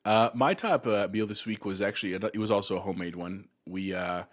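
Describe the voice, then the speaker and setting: Deep-voiced relaxed podcast co-host man. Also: a little fast. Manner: relaxed storyteller, chuckles easily. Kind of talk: casual podcast chat.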